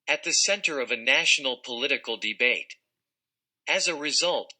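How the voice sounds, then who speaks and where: Very bright, forceful male narrator. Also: mid-range voice. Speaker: narrator reading an article. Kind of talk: scripted read-aloud narration.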